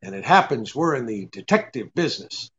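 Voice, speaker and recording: An actor playing a cynical, unyielding private detective in a 1940s-style radio drama, with terse, halting tough talk. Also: male voice, mid-range voice, sing-song, fairly bright tone, muffled recording. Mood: grimly resolved.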